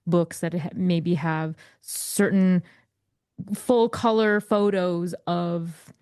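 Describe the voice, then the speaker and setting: A woman's voice: fairly low pitch, fairly even intonation, extremely slow, darkish tone, clean tone, no echo, clean studio sound. A woman hosting an interview podcast, chatting casually and encouraging her guest with enthusiastic remarks. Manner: enthusiastic, encouraging, uses fillers. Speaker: podcast host.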